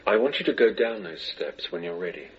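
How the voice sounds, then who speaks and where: A man in a radio drama playing a patient, gentle hypnotherapist who guides and questions in a measured, soothing way. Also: low voice, expressive. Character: patient, gentle male hypnotherapist guiding a regression. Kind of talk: radio drama acting as taped therapy session. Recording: noisy, slightly dull session tape.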